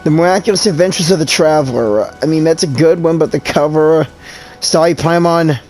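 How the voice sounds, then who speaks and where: A gamer with a medium-pitched voice chatting along to a video game. The delivery is casual, wry and jokingly reluctant, with faint game music in the background. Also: fairly quick, a little mellow.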